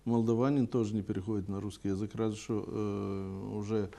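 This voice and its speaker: A man giving unscripted interview answers as a TV guest, speaking in a halting, deliberate way. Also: lowish voice, flat delivery, vocal fry, dark tone. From Gagauzia, Moldova. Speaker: TV programme guest.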